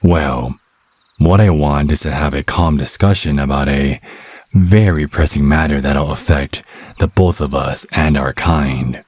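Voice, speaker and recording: A man playing a cocky, sardonic male vampire hunter in an ASMR roleplay, taunting with slow, deliberate phrasing. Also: darkish tone, dry recording, exaggerated voice. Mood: taunting, composed. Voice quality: husky, very deep. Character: cocky, taunting male vampire hunter, youthful but over a century old.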